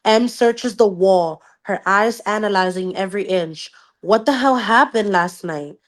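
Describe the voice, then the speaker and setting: Woman on a book podcast reading out loud, expressive and casual, throws in swears and spanish interjections. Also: medium pitch, unhurried. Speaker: book club podcast co-host. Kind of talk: casual read-aloud with reactions.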